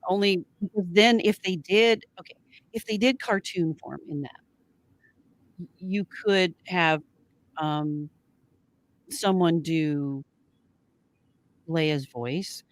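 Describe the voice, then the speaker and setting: Female podcast co-host, lowish voice, casual live fan chat, close dry sound. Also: slow, long pauses, no echo, low-quality recording.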